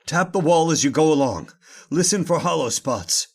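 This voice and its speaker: An actor playing a stern, stubborn master leading a search, speaking in commanding, impatient, formal old-fashioned phrases in the style of radio horror. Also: male voice, fairly high pitch, lively intonation, normal speed, slight background noise. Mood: determined.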